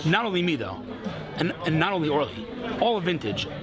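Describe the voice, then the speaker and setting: A man hosting a casual interview in a restaurant, excitable and gushing, often talking over his guest. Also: a little high, brisk. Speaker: vlog host interviewing guests. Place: busy restaurant. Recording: noisy restaurant with loud music. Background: loud music and crowd chatter.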